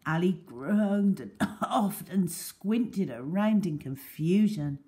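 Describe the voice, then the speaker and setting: Slow, animated woman storyteller. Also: fairly low pitch. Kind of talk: expressive children's storybook reading.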